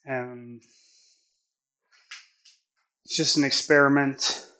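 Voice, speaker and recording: A man whose first language is Swedish talks in English through his workout. His delivery is unhurried and thoughtful, with many pauses and restarts. His pitch stays flat and even. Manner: slow, thoughtful, halting phrasing. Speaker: athlete training on camera. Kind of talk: reflective training vlog narration.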